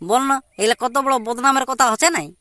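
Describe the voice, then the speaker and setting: A woman plays a hot-headed young man from a village cartoon, with a Purulia Bengali accent. The voice is high and sharp, argumentative and forever demanding agreement, recorded close and dry. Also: fast, dry recording, lightly acted. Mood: aggrieved. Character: hot-headed, indignant young village son wanting marriage. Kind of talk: amateur cartoon comedy dialogue.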